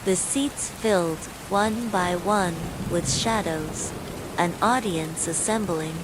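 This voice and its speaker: Slow-paced woman narrating horror over rain. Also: on the low side. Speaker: storyteller. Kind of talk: scripted horror bedtime story narration. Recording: steady rain noise throughout. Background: falling rain.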